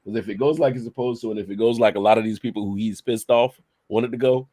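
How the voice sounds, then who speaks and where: A man's voice: lowish voice, steady tone, fast talker, warm tone, no room sound. A man co-hosting a casual podcast, laying out a laid-back hypothetical scenario to his friends. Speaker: podcast co-host. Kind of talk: casual political banter.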